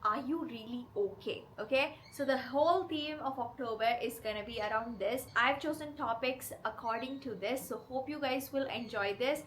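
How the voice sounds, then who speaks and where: A woman with a cold that leaves her voice hoarse and rough, hosting her channel and talking earnestly and directly to her viewers. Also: on the high side, moderate pace, hardly any pauses, fairly bright tone, slight background noise. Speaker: channel host. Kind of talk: confessional vlog talk to camera.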